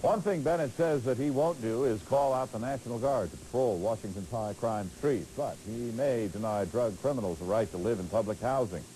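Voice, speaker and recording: A man's voice: mid-range voice, a little fast, few pauses, warm tone, poor audio. An American man anchoring a scripted television newscast, heard on a hissy late-eighties tape. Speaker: news anchor.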